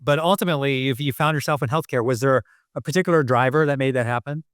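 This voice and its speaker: Enthusiastic male host, clean resonant tone, chatty and warm-up style. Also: mid-range voice, quick pace, clean tone, no echo, clean studio sound. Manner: enthusiastic, conversational, uses "you know". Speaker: podcast host. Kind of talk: casual interview hosting.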